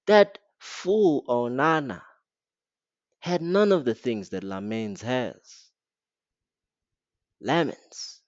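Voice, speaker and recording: A man's voice: mid-range voice, expressive, extremely slow, long pauses, dry recording, dull audio. A man with an African accent giving a blunt, slangy, mocking rant as a football fan reviewing a match. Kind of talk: casual rant to camera.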